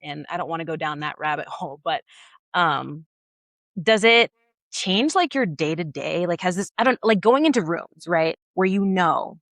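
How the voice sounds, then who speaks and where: A woman with an American accent and a slightly low voice, captured very dry. She hosts a casual interview, speaking informally and chuckling now and then. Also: expressive, moderate pace, a bit bright, dry recording. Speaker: interview host.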